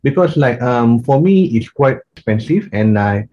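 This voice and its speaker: A man's voice: mid-range voice, expressive, moderate pace, dull audio, a little hiss or hum. A man, a podcast guest telling his story in an earnest way with many fillers. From Malaysia. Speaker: guest, coach and maths teacher. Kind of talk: podcast interview.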